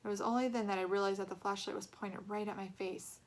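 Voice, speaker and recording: A woman reading a storybook aloud as an expressive narrator. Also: mid-range voice, fast.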